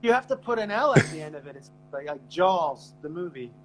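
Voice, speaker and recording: A man's voice: high-pitched, big pitch swings, fairly quick, over music, rough recording. A man with an Eastern Pennsylvania accent, co-hosting a casual podcast chat in a loose, rambling and profane way. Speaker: band member and co-host.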